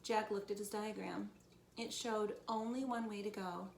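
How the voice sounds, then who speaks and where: A woman's voice: medium pitch, fairly even intonation, moderate pace, gravelly. A woman reading a children's storybook aloud as a teacher would, expressively, for young listeners. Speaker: teacher reading to first graders. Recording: echoey room, background noise.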